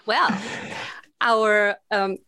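A woman's voice: medium pitch, steady tone, very slow, bright, forceful tone, clear, resonant tone, no room sound. A woman hosting a casual podcast interview, chatting and putting questions to a guest. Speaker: podcast host.

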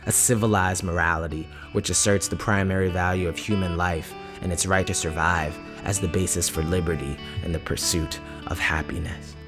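A man reading a political essay from a script in a measured, formal delivery. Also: deep voice, steady tone, over music.

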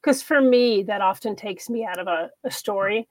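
A woman's voice: mid-range voice, normal speed, no echo. Earnest woman author, dry close sound. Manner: thoughtful, earnest, laughs easily. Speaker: author guest. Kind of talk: casual interview conversation.

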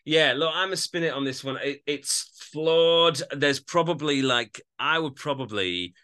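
Male podcast co-host, casual reviewer; very bright, forceful voice on the low side, closely and dryly recorded. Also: measured pace, clear, resonant tone, no echo, clean studio sound. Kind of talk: casual album review chat.

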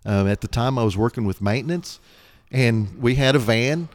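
A man's voice: medium pitch, moderate pace. A middle-aged man telling anecdotes casually as a podcast guest, nonchalant and acting out bits of dialogue. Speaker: podcast guest, air traffic controller.

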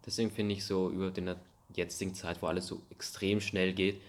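A young man hosting a podcast, asking questions in a friendly, casual way with slang and halting restarts. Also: sits low, flat delivery. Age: young adult. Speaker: podcast host. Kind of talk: casual interview chat.

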